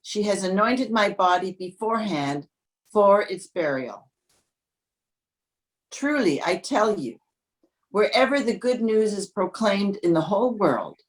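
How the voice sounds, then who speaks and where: A woman's voice: mid-range voice, low-quality recording. A woman reading scripture aloud from a text, as a church member would for a service.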